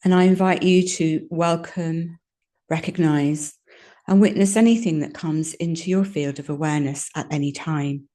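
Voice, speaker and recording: Female meditation guide, low-pitched and soft-edged, mellow tone, gentle soothing delivery with unhurried pauses. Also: steady tone. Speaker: yoga nidra meditation guide.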